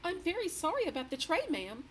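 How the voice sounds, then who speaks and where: A woman's voice: extremely high pitch, sing-song, a little fast, slight background noise, pitched above the performer's own voice. A woman voicing a startled, deferential middle-aged maid who keeps saying ma'am. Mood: flustered.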